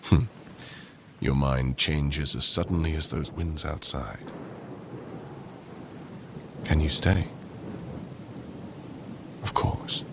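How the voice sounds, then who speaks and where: A man playing a proud, commanding and possessive conqueror king in a boyfriend roleplay audio, speaking in slow, formal, measured phrases with a deep gravelly voice. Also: fairly even intonation, background noise, heavily acted. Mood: dry, controlled.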